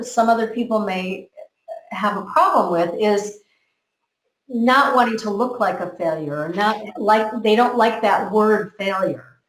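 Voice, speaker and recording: Earnest American woman in an echoey room. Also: a little high. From United States. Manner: earnest, repeats words while building a point. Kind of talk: motivational conversation.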